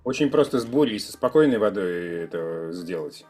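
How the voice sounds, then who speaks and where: Male podcast co-host, slightly deep and raspy, playful conversational delivery with halting phrasing. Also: lively intonation, a little mellow, soft background music. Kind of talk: casual chat.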